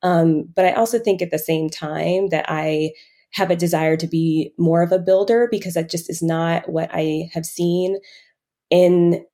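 A woman in casual, reflective conversation, candid and often halting as she corrects herself. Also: on the low side, fairly even intonation, warm tone.

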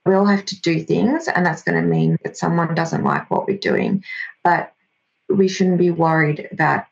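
Australian woman guest, measured explainer, low and husky-edged, slightly muffled recording. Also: lively intonation. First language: Greek. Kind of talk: podcast interview. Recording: slightly dull lo-fi audio.

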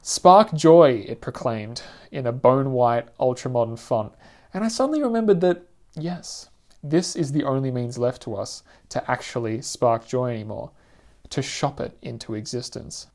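A man reads his own personal essay aloud in a reflective, literary and measured manner. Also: mid-range voice, lively intonation, darkish tone. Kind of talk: scripted personal essay narration.

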